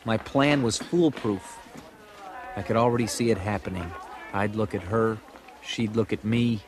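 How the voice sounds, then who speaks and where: A man's voice: on the low side, unhurried, natural voice. An American actor playing a grown man who narrates memories of his boyhood crush in a wry, nostalgic, dramatic way. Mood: earnest, wry. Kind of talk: scripted first-person narration.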